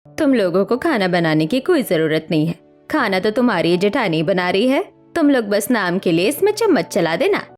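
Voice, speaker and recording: A woman playing a harsh, sharp-tongued mother-in-law in a family cartoon story, scornful and commanding. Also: mid-range voice, very animated, normal speed, soft background music, lightly acted. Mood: dismissive. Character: harsh scolding mother-in-law, contemptuous of village bride.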